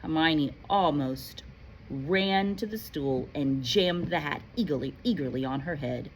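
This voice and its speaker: A woman reading a story aloud in an animated, playful, expressive way, like a teacher. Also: deep voice, a little slow, a little hiss or hum, poor audio. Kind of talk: expressive read-aloud narration with casual asides.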